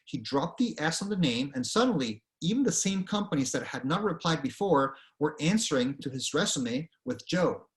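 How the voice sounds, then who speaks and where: A man's voice: fairly high pitch, sing-song, moderate pace, hardly any pauses. Earnest man with a Venezuelan accent. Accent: Venezuelan Spanish. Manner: earnest, direct, conversational with frequent okays. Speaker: career speaker. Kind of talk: presentation to students.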